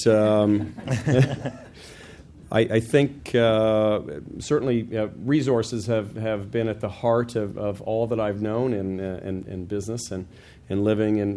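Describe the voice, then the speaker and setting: A man giving opening remarks at a business forum, halting and repeating words. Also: on the low side, dark tone, slight background noise. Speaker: opening speaker. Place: conference hall.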